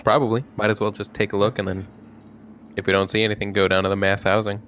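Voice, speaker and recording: A man's voice: on the low side, level tone, fast talker, fairly bright tone, a little hiss or hum. A man chatting casually as a player in a tabletop roleplaying game.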